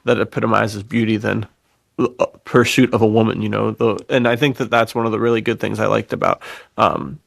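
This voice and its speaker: A man co-hosting a podcast, chatting informally and reflectively in a halting way. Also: on the low side, fairly even intonation, gravelly, no echo, studio quality. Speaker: podcast co-host. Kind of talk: casual podcast chat.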